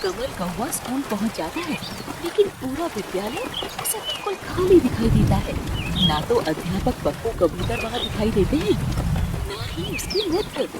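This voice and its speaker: A woman's voice: a little high, big pitch swings, normal speed, very noisy. A woman narrating a scripted cartoon story as its storyteller. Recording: music behind the voice.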